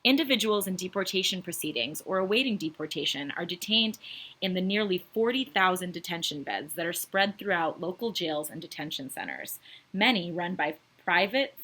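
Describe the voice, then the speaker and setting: A woman's voice: lowish voice, a bit bright, breathy edge, rough recording. A woman presenting an educational video in a formal, scripted explanatory style. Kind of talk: scripted informational narration.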